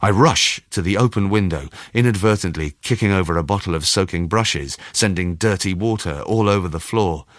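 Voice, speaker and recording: A man narrating a first-person short story in a measured, expressive storytelling manner. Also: sits low, no room sound, studio quality. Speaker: audiobook narrator.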